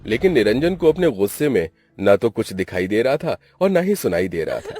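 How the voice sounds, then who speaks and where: A man's voice: lowish voice, sing-song, normal speed, a little mellow, no room sound, soft background music. A man narrating a scripted story in a dramatic style.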